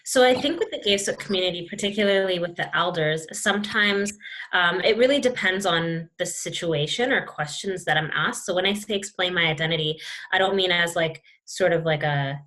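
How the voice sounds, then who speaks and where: A young woman, speaking as an interview guest in a thoughtful, reflective way with many pauses and restarts. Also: lowish voice, a bit bright. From Canada. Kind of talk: reflective conversation.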